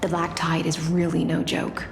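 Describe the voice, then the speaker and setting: An actress playing an aloof, poised female knight of the deep sea in a video game, speaking in a measured, formal, coolly wry way. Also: monotone, creaky, noisy background. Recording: echoey voice over background music.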